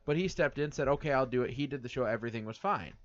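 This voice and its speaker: A man's voice: medium pitch, rapid-fire. A man from the United States co-hosting a casual chat show, rambling with many fillers and repeated words. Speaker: podcast co-host. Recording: dull lo-fi sound.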